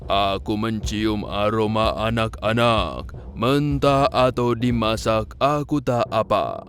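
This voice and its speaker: A man voicing a menacing, child-eating giant in a children's cartoon story, chanting slowly and boomingly. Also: a bit bright. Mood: hungry, menacing. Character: menacing hungry male giant who eats children.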